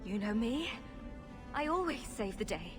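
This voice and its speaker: An actress playing a sarcastic yet loyal young rogue in a video game, dry and sardonic. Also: female voice, fairly high pitch, sing-song, a little slow, over music. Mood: wry. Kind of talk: dramatic video game acting.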